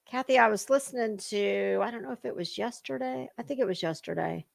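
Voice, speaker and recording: A woman's voice: on the high side, brisk, vocal fry, no echo, clean studio sound. A woman hosting a livestream, chatting casually with viewers in a rambling, informal way. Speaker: livestream host.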